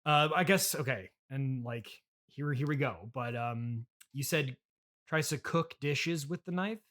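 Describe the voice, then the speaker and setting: A man hosting a comedy interview podcast, speaking in a halting, polite, easily flustered way. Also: medium pitch, fairly bright tone.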